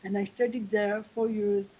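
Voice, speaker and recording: Husky older woman on a video call. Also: mid-range voice, little pitch movement, a little mellow, muffled recording. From Algeria and France. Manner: thoughtful, pauses to search for words. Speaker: guest.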